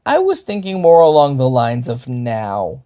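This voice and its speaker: A man's voice: wildly swooping pitch, measured pace, dark tone. A man voicing a nervous young male would-be hero who stammers and blusters. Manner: stammering, flustered, higher-pitched.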